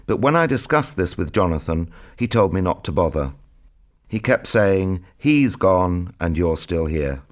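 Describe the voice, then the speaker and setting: A man giving a measured spoken recollection, as in a memoir. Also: deep voice, sing-song.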